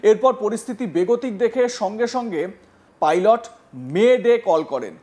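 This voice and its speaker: High-pitched male news presenter. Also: expressive, measured pace, darkish tone. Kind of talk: news narration with commentary.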